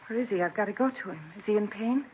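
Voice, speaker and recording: An actress playing an anxious married church organist in a 1950s radio drama, speaking in a hurried, pleading way. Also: female voice, mid-range voice, close to an everyday voice. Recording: narrow, hissy old radio recording.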